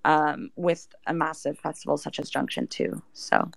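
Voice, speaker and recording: A woman's voice: low-pitched, huge pitch swings, moderate pace, gravelly, no echo. A woman speaking as an interview guest, explaining things in a casual, chatty way. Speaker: interview guest, project team member.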